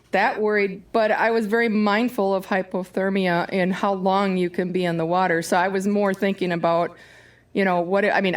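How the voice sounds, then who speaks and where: A woman guest in a casual interview, talking loudly and emphatically with a chatty manner. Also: medium pitch. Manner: loud, emphatic, chatty with laughs.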